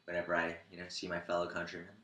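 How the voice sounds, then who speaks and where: Deep, bright-voiced man in echoey room. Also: monotone, very fast. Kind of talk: casual interview answer. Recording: echoey room, faint noise.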